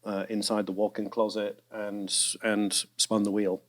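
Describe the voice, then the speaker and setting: A man telling stories in a relaxed, self-deprecating way as a voice actor on a festival panel. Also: lowish voice, a little slow. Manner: chatty, self-deprecating storyteller. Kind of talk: casual panel talk. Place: fine arts center stage.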